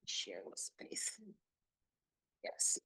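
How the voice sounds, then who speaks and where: A woman's voice: very slow, bright, forceful tone, whispered. A woman moderating a health talk, speaking earnestly and encouragingly as she thanks the doctor and opens the floor to questions.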